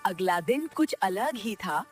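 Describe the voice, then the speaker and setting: A woman's voice: mid-range voice, sing-song, slow pace, soft background music. A woman narrator reading a scripted story for a cartoon.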